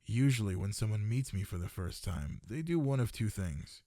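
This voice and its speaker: A man's voice: lowish voice, expressive, normal speed, mellow, no room sound, studio quality. A man playing a smitten, possessive part-dragon librarian in an ASMR boyfriend roleplay, speaking intimately and tenderly to one listener. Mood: fond, reminiscing.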